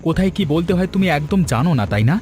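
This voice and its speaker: A man acting a fast-talking, firm young husband. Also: fairly high pitch, animated, a little mellow, close to an everyday voice. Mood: indignant. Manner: firm, protesting. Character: supportive, indignant young husband. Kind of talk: Bengali cartoon voice acting.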